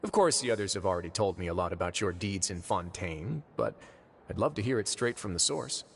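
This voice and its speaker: An actor playing a calm, shrewd young duke who runs a prison, smooth, measured and politely teasing. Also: male voice, deep voice, slight background noise. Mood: courteous. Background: game background music.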